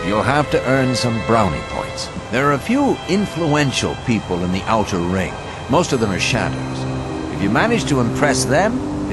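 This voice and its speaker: Confident mentor guy male actor, game dialogue, lively pitch, music clearly behind. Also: lightly acted. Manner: instructive, self-assured.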